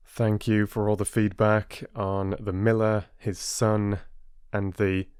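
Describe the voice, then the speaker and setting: A male storyteller with a fairly low voice and a very slow, deliberate delivery. He phrases expressively, as in an audiobook, and addresses listeners as their host. The recording is close and dry, with no room sound. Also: little pitch movement, a little mellow, clean tone, dry recording.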